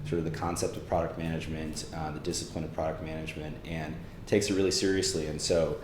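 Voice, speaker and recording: A man's voice: rumbling low, level tone, quick pace, reverberant, slight background noise. A man hosting an interview, introducing a guest and asking questions in a friendly way with many fillers. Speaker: host. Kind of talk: conversational interview.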